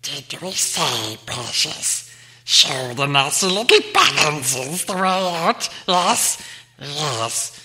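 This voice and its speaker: A man's voice: on the high side, sing-song, slow pace, bright, forceful tone, voice pushed up, full character voice. A man voicing a slimy, spiteful cave creature in an audiobook, hissing, shrieking and muttering with wheedling repetition. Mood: sly, impatient. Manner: fussy muttering with repeated words. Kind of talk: audiobook character acting.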